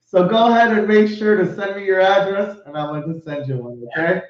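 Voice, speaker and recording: Upbeat host guy, pretty high voice, super echoey lo-fi stream. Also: fairly quick. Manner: effusive, swears, laughs a lot. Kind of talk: casual live show chat.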